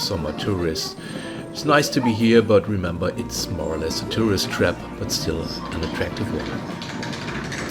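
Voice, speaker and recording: A man in his fifties with a German accent, narrating his travel vlog in a chatty way, often pausing with uh and um. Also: low voice. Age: 50s. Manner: chatty, pausing with many uh and um fillers. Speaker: travel vlogger. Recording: voice with music playing underneath.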